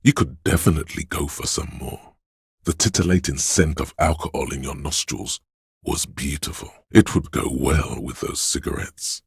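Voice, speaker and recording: A man voicing a seductive, hedonistic inner voice of craving in a video game, gravelly and husky, coaxing slyly and savouring his words. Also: rumbling low, normal speed, no echo, studio quality, heavily acted. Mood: teasing, craving. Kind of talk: dramatic video game narration.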